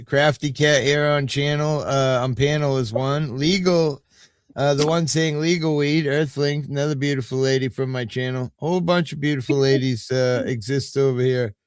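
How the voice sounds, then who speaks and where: A man's voice: medium pitch, fairly even intonation, moderate pace, clear, resonant tone, no room sound. A man in his late fifties hosting a live stream, chatting casually in a rambling, easygoing way with lots of fillers. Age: 50s. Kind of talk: casual chat.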